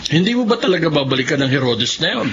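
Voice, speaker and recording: A man's voice: on the high side, sing-song, fast talker, fairly bright tone. An actor playing an older father and grandfather who is blunt, chiding and doting, in a radio drama. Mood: scolding. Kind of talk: Filipino radio drama acting. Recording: dull lo-fi radio, faint noise.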